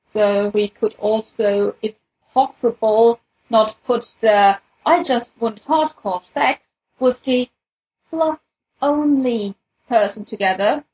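A woman's voice: a little high, takes it slowly, a little mellow, slightly rough, lo-fi sound. A woman explaining things casually as a moderator on a podcast panel.

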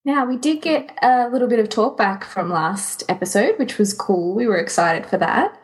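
A woman's voice: on the high side, lively intonation, normal speed. A woman co-hosting a podcast in a casual, conversational style. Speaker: podcast co-host.

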